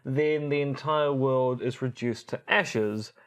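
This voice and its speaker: A man's voice: medium pitch, slow. Articulate, academic male podcast co-host. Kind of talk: explanatory podcast discussion.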